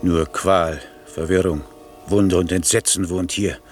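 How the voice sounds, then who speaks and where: An actor playing a frightened, lamenting old courtier in a 1960s radio play, with a gravelly, husky voice. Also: male voice, deep voice, fairly even intonation, measured pace, faint music behind. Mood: anguished, pleading. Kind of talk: 1960s German radio play, classical verse acting.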